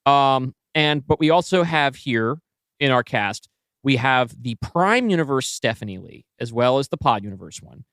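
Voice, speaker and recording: A man's voice: medium pitch, lively intonation, moderate pace, a bit bright, clear, resonant tone, no echo. Man chatting casually on a dry-sounding podcast. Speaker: podcast co-host. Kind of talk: casual story-planning discussion.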